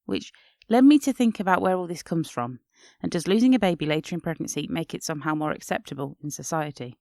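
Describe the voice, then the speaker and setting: Low-pitched woman hosting a reflective podcast. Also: expressive, fast talker, darkish tone, no room sound, clean studio sound. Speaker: podcast host. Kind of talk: reflective scripted podcast narration.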